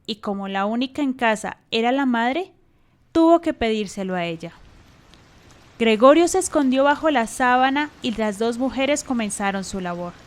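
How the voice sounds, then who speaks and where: High-voiced woman narrating a radio drama. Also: expressive, normal speed. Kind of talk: scripted radio drama storytelling.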